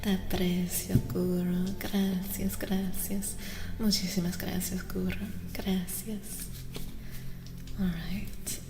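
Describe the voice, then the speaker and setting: Soft, slow woman murmuring in reverb. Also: lowish voice, little pitch movement. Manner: unhurried, murmuring, often slipping into whispers. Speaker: streamer and tarot reader. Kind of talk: soft-spoken ASMR live stream, chatting with viewers. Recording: roomy reverberant echo, faint noise.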